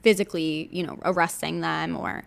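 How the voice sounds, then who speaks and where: A woman with a noticeably creaky voice talks as a podcast co-host. Her delivery is casual and halting, with "like" sprinkled through her sentences. She sounds like someone thinking aloud in conversation. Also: deep voice, steady tone. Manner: halting, heavy use of "like". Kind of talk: casual conversational political chat.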